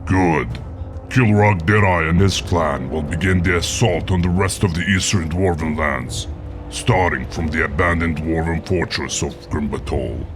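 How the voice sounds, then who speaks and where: An actor playing a stern, threatening orc war chief giving imperious orders in a video game cutscene. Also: male voice, deep voice, measured pace. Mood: commanding. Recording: music under the voice.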